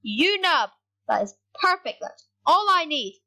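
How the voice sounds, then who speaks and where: A woman giving rambling, excitable commentary over her gameplay, talking straight to her viewers. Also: sits high, measured pace. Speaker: gaming YouTuber.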